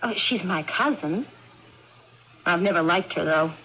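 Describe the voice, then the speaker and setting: An actress playing a prim, dutiful young daughter in a radio drama, with a curt, contradicting, matter-of-fact manner. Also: female voice, on the low side, animated, a little slow, sharp tone, husky, full character voice. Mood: sullen. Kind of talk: 1980s radio drama acting. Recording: dull, noisy vintage radio recording.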